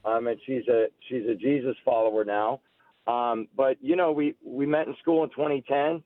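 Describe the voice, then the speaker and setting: A man's voice: mid-range voice, fairly even intonation, normal speed, no echo. Male guest, forties, American, casual personal storyteller on a dry, narrow-band radio call-in. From United States, Alabama-born, grew up near Seattle. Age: 40s. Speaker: guest, political candidate. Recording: muffled lo-fi call-in radio line.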